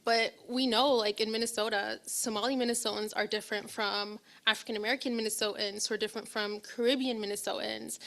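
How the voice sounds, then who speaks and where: A woman's voice: mid-range voice, gravelly, fairly bright tone. A young woman from Ethiopia, a public health researcher, speaking earnestly on a panel with many fillers and restarts. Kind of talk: panel talk.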